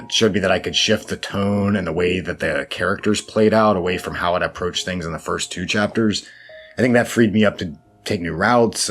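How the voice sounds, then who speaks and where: Low-voiced man, thoughtful and wry, over music. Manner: thoughtful, self-deprecating, wry asides. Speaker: interview guest, horror fiction writer. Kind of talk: reflective interview answers. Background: background music.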